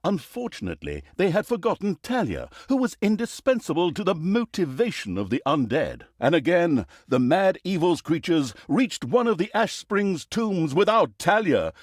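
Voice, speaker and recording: A man's voice: sits high, very animated, normal speed, few pauses, no echo, clean studio sound. A man playing a sardonic, vain storyteller who narrates a comic fantasy game saga in a wry, mock-grand theatrical manner. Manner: wry, mock-grand, dramatic emphasis and asides.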